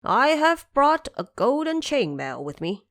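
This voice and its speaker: A woman's voice: sits high, big pitch swings, unhurried, a bit bright, no echo, clean studio sound, pitched above the performer's own voice, full character voice. A woman voicing a cautious male sea dragon king who urges his brothers toward a peaceful way out, in an audio drama. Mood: urging.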